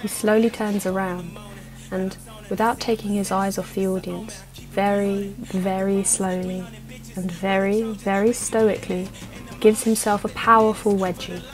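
A woman acting as narrator of a radio play, reading scripted stage directions in a measured, matter-of-fact way. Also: medium pitch, flat delivery, faint music behind, lightly acted, low-quality recording.

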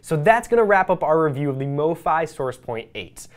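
A man's voice: fairly high pitch, lively intonation, a little fast. A man in his thirties presenting a scripted product review to camera from a studio, polished and upbeat. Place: studio with speakers behind.

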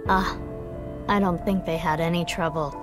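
A woman voicing a composed young female agent in a video game, speaking in a calm, matter-of-fact way. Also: lowish voice, normal speed, lightly acted. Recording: game audio with background music.

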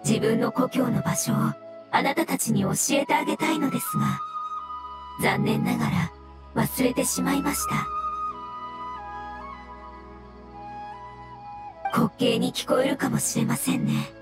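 A woman voicing a sorrowful, courteous floating fungus creature in a video game, speaking formally, humbly and haltingly. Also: on the high side, over music, lightly acted. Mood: wistful, regretful.

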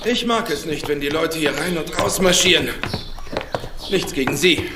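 A man's voice: a little high, moderate pace, natural voice. A man playing a hot-headed, irritable and defensive nephew in a radio mystery. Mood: irritated. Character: testy, hot-headed young nephew tinkering in boathouse. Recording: loud background noise with clicks.

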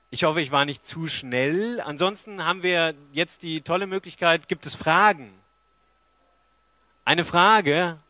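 A man's voice: sits high, sharp tone, dry recording. A man in his thirties giving an informal, explanatory talk on stage into a stand microphone and answering questions. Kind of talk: informal public presentation with audience Q&A. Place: stage at a book fair forum. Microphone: handheld-type microphone on a stand.

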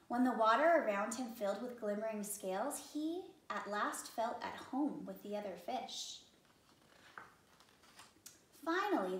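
A woman in her thirties, a teacher reading a children's story aloud expressively, measured, with pauses for effect. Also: a little high. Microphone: camera a few feet away. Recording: roomy, somewhat distant microphone.

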